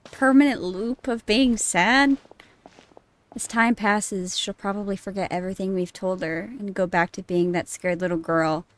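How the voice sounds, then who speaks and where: Laughing, swearing woman streamer. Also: mid-range voice. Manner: reactive, laughs often, swears, reads game text aloud. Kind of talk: live gameplay commentary.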